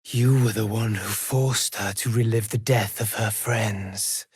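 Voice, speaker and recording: A man's voice: on the low side, steady tone, measured pace, a little mellow, breathy edge, no echo, studio quality. A man playing a solemn, menacing necromancer judge hungry for vengeance in a fantasy video game, speaking formally and theatrically in archaic phrases. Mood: dark relish.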